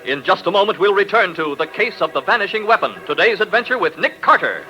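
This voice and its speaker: A man's voice: high-pitched, big pitch swings, fast, loud music behind the voice, poor audio. A man with an American accent announcing in a punchy, polished 1940s radio style. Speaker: announcer and narrator. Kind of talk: 1940s radio announcing, scripted ad read and narration.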